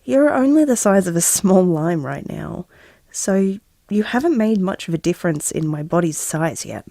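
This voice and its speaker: An actress playing a tender, wry pregnant woman musing gently to her unborn baby in an audio drama. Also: lowish voice, lively intonation, moderate pace, creaky, dry recording, studio quality. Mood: wondering, wry. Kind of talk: intimate audio drama monologue.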